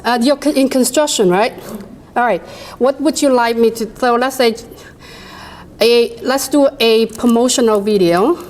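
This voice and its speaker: A woman with a non-native English accent presents a workshop to a live room. She runs a software demo, talks to the audience directly and laughs often. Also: fairly high pitch, measured pace.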